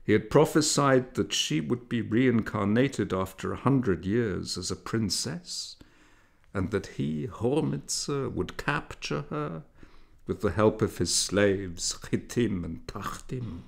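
A man narrating a literary story in a measured, dramatic storytelling manner. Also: on the low side, creaky. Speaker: audiobook narrator.

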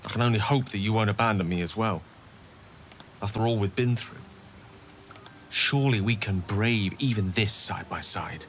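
An actor voicing an eloquent, theatrical human wizard in a video game, speaking in articulate, wordy, confessional dialogue. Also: male voice, lowish voice, moderate pace, long pauses, a little hiss or hum, close to an everyday voice. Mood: grave confession.

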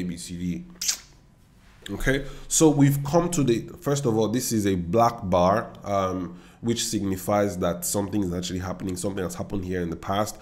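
Young Nigerian trader, lowish voice, confident and chatty, desk-mic screen-share talk. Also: male voice, a little mellow. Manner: confident, chatty, talks straight to viewers with asides. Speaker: forex trader and educator. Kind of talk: screen-share trading analysis. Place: indoor room.

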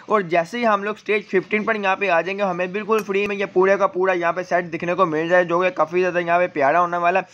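A man's voice: high-pitched, a bit bright. A man presenting a casual gaming walkthrough, explaining step by step in a repetitive way with frequent verbal tags. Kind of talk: casual game event walkthrough. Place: voice-over on screen-captured gameplay. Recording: dull, lo-fi voice recording.

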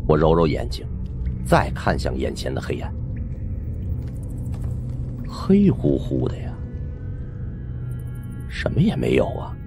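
A male audiobook narrator with a deep voice. He tells suspense fiction in a colloquial, theatrical way with very animated pitch. Music runs softly underneath. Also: gravelly. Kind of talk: dramatic scripted narration of a supernatural suspense novel.

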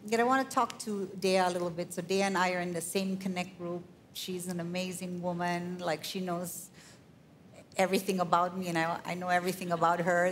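A woman's voice: fairly low pitch, slight background noise. A woman moderating a church panel, affirming and conversational, on a handheld mic in a large hall. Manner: warm affirming, conversational with fillers.

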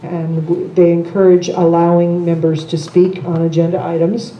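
An older retired woman chairing a board meeting, speaking in a measured, procedural way with frequent ums. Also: on the low side, level tone, dark tone, a little hiss or hum. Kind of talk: meeting discussion. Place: large meeting room with long conference table. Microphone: table microphone. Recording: echoey room, camera mic.

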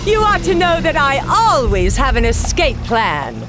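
A slow, taunting female master thief. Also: high voice, very animated, loud music behind the voice. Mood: smug, teasing. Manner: playful, taunting, unhurried. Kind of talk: video game cutscene acting.

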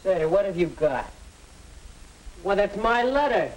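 A man's voice: high-pitched, sing-song, measured pace. A young man playing an angry, accusing teenage older brother in a 1950 educational film. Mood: furious. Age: young adult. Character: hot-tempered bullying teenage older brother. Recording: noisy, distant 1950 film soundtrack.

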